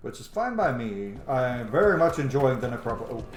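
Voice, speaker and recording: A man hosts a gameplay series with very lively, swooping intonation. He is chatty and enthusiastic, talking casually and swearing offhand. The room is audibly echoey, with faint game music behind him. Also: mid-range voice, fairly quick.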